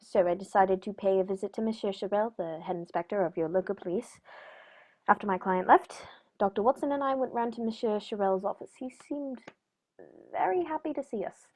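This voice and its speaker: A woman playing a courteous, shrewd gentleman detective in a formal, measured radio-play manner. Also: medium pitch, close to an everyday voice. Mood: composed. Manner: polite, measured, formal, knowing. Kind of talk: 1940s radio play acting.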